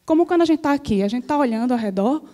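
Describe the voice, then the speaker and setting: A woman with a Northeastern Brazilian accent giving a friendly, chatty conference talk as a software engineer, often checking in with her audience. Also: high-pitched, lively intonation, very fast. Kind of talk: conference presentation. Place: conference stage before an audience. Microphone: handheld or stage mic.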